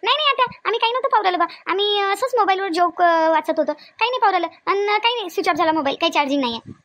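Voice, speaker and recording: A woman's voice: very high voice, animated, racing, a bit bright, no echo, highs cut off. A woman voicing a young married daughter-in-law in a cartoon family skit, meek and evasive, with a Vidarbha Marathi accent. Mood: flustered, evasive. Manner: placating, apologetic, repeats denials.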